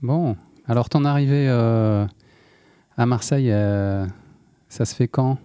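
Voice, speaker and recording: A man hosting a casual interview, asking questions in a relaxed, informal way with frequent fillers. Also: mid-range voice, slow, smooth, no room sound, studio quality. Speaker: interviewer host.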